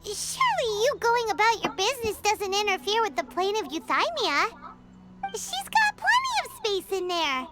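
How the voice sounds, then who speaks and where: Very high, squeaky little fairy. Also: female voice, moderate pace. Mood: puzzled. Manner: squeaky, expressive, refers to herself in third person. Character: small childlike female fairy companion, excitable, chatty. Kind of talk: video game voice acting. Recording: loud music behind the voice. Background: music.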